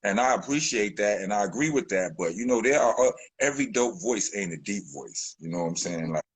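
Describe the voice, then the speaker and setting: A man's voice: lively intonation, brisk, slight background noise. A man, a rapper talking in a loose, rambling way full of fillers and restarts in an interview. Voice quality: deep voice. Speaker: rapper, guest. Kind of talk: casual interview talk.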